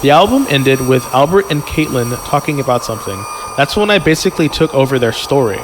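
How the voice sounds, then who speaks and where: A man's voice: medium pitch, expressive, brisk, background noise. A man reading a story aloud on a podcast in an informal, amused way, breaking off for chatty asides.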